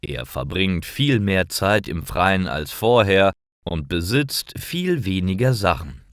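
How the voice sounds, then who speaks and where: A man narrating simple scripted stories for language learners, reading carefully in clearly phrased short sentences. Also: low voice, sing-song, slow pace, a bit bright, no room sound, studio quality.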